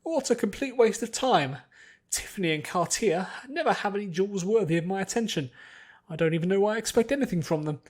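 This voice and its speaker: A man's voice: high voice, animated, fairly quick, pitched above the performer's own voice. A man voicing a spoiled, nasty rich young noblewoman, pretentious, haughty and demanding, in a fantasy story. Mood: indignant, scornful.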